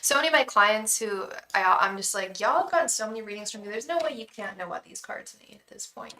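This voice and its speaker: A young woman gives a live tarot reading in a chatty, reassuring tone. Her voice is bright and clear, with a calming quality. She often restarts her sentences, and the room around her has a noticeable echo. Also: mid-range voice, a little fast, slight background noise. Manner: addresses viewers fondly as "love". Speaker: tarot reader and psychic.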